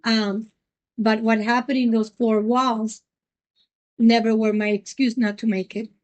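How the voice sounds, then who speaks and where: Earnest woman from Puerto Rico, fairly high. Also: little pitch movement, measured pace, darkish tone, lo-fi sound. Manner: earnest, many ums and restarts. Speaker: nonprofit president, guest. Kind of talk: reflective interview answers.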